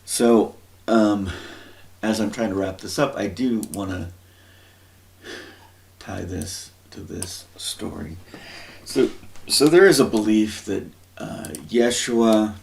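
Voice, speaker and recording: Slow, gravelly low-voiced man musing. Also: slight background noise. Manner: rambling, searching for words, many fillers. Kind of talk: informal religious teaching, thinking aloud.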